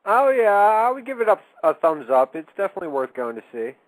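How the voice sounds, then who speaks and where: तीस की उम्र का रेडियो सह-होस्ट आदमी, थोड़ी ऊँची और उतार-चढ़ाव वाली आवाज़. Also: male voice, sits high, big pitch swings, brisk, rough recording. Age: 30s. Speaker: radio co-host. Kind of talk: casual chat.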